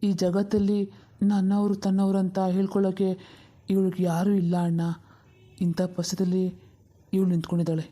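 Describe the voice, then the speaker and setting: Woman voicing an earnest, mellow-toned pleading younger brother. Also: medium pitch, flat delivery, normal speed, pauses often, natural voice. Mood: earnest, pained. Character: earnest, compassionate younger brother pleading for his half-sister. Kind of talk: dramatic cartoon story dialogue.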